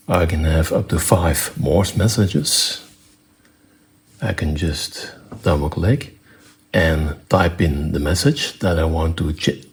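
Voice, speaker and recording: A man presenting an unscripted technical demonstration in a matter-of-fact, step-by-step way, with halting phrasing. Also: a bass voice. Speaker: presenter demonstrating own electronics project.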